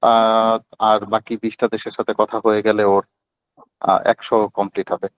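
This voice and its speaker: A man's voice: lowish voice, flat delivery, normal speed, no room sound, poor audio. Bangladeshi man teaching online, dry sound. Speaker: instructor. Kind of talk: informal online teaching, explanatory. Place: online group call.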